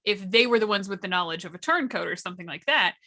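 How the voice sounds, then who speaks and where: A woman's voice: mid-range voice, lively intonation, fast talker, bright, forceful tone. A woman giving casual, articulate explanations as a workshop panelist, with a wry conversational manner.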